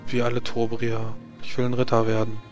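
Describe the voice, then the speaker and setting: A man's voice: mid-range voice, monotone, fairly quick, faint music behind, lightly acted. Man playing a stubborn, tired little girl. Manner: short, simple, insistent childlike replies. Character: stubborn, tired little girl addicted to a drink, dreaming of knighthood.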